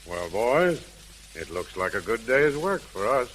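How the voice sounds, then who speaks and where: An actor playing an authoritative, satisfied police chief in a 1930s radio drama. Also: male voice, fairly low pitch, very animated, unhurried, fairly bright tone, soft background music. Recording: noisy old 1930s radio recording.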